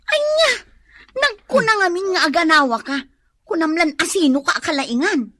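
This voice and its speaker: A very high, bright female voice plays a sharp-tongued, sceptical wife in a radio comedy. She scolds in an Ilocano accent, recorded close and dry. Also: lively intonation, normal speed, no room sound. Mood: alarmed, scolding.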